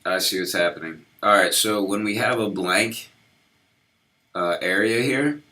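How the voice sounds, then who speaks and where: Brief: deep-voiced male live-coder, slightly hoarse from a cold, casual and halting. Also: pushed and bright. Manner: halting, lots of ums and restarts while working. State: slightly sick, losing his voice. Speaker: web developer streaming his work. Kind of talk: casual live-coding walkthrough.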